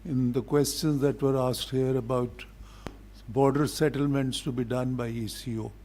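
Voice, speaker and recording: An older man with a Pakistani accent speaks as a diplomat in a formal public address, in a deliberate, blunt, admonishing manner. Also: medium pitch, fairly even intonation, mellow.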